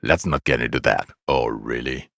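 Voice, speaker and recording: A man's voice: a bass voice, moderate pace, gravelly, a bit bright, no echo, heavily acted. A man voicing a jaded, foul-mouthed police detective in a video game, with dry, biting sarcasm and exasperation. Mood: weary, reproachful. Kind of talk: video game character voice acting.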